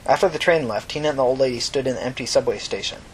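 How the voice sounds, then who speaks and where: A man's voice: mid-range voice, rapid-fire, slight background noise, low-quality recording. A man reading scripted fiction as a narrator.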